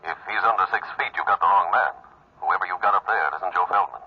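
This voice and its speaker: An actor playing a sober, concerned priest in a 1940s radio drama, earnest and questioning. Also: male voice, low voice, quick pace, breathy edge. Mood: sorrowful, insistent. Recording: dull, phone-like old radio recording.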